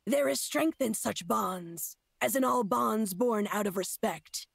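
Husky, creaky feral huntress. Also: female voice, fairly high pitch, no echo, studio quality, full character voice. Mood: solemn, proud. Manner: slow, cryptic, solemn speech. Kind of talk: fantasy game character acting.

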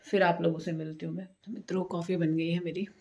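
A woman's voice: fairly low pitch, little pitch movement, fast talker, mellow, slightly rough. Tired, unwell woman vlogging from home. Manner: tired, chatty, talks to viewers while doing chores. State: unwell, sore throat. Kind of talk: casual vlog chat to camera. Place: small home kitchen and rooms, indoors. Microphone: phone held at arm's length.

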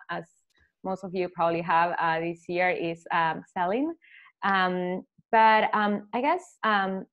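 Give me a hesitant woman from Argentina, a bit husky with fry, talking over a dull webcam line. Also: on the low side. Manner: hesitant, many fillers and restarts. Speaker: agency owner asking a question. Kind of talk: casual video call Q&A. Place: home living room. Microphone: laptop webcam on a video call. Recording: dull lo-fi video call audio.

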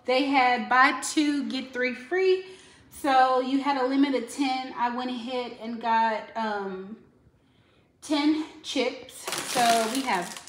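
Woman, 30s, chatty vlogger voice, slightly high, roomy kitchen sound with faint hiss. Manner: chatty, addresses viewers directly. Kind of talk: casual grocery haul vlog. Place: home kitchen, indoors. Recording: echoey kitchen with faint noise.

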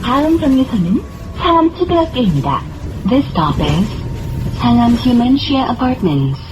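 A woman reading scripted bus stop announcements in a measured, formal manner. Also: on the high side, sing-song. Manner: measured, formal, clearly phrased. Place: played over speakers inside a moving bus. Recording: muffled recording with clear vehicle noise. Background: bus engine and road noise.

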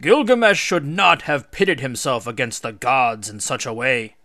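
A man's voice: mid-range voice, pushed and bright, no echo, studio quality. Man voicing a dignified, reproving father god. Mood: grave warning. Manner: questioning, measured admonishing.

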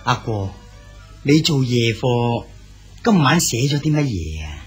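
An actor with a Cantonese accent playing an aging exiled male poet, gentle and fatherly in a radio drama. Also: mid-range voice, very animated, measured pace, faint music behind. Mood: calm. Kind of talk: 1980s Hong Kong radio drama acting.

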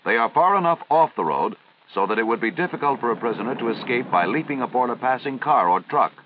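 An American man reading formal, measured, instructional narration in the style of a 1950s military training film. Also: medium pitch, animated, a little hiss or hum. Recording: dull, band-limited vintage film soundtrack.

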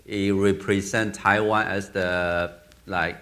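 Slow-talking man with a Burmese accent. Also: sits low, level tone, sharp tone. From Myanmar. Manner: halting, searching for words, with frequent restarts. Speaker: film director. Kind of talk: Q&A answers on stage. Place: theater stage.

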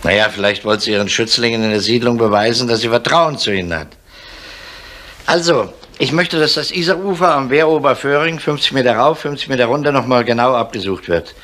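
An actor playing a gruff middle-aged police chief inspector in a 1970s German radio crime drama. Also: male voice, medium pitch, brisk, a bit bright, close to an everyday voice. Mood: businesslike, then commanding. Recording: faintly noisy 1970s radio recording.

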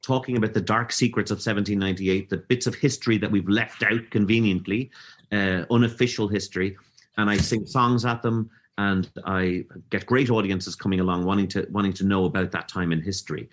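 A man's voice: on the low side, fairly even intonation, brisk. A middle-aged man with a Dublin Irish accent, telling his story as a podcast guest. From Ireland. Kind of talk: casual interview talk.

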